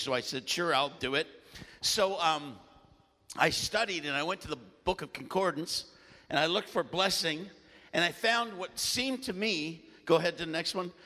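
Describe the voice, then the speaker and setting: A man preaching a sermon with a loud voice and animated storytelling, often clearing his throat. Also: a little high, long reverb tail.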